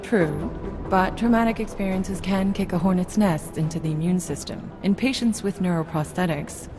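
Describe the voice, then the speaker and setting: Woman voicing a cool measured doctor, lowish voice, probing questions, loud music under it. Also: close to an everyday voice. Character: probing female psychologist doctor, calm. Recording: game cutscene with loud music.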